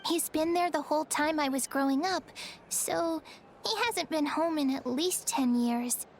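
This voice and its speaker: A woman voicing a gentle, unsure little girl in a fantasy game, speaking in an earnest, hesitant, childlike way. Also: high-pitched, measured pace, slight background noise. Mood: earnest, wistful.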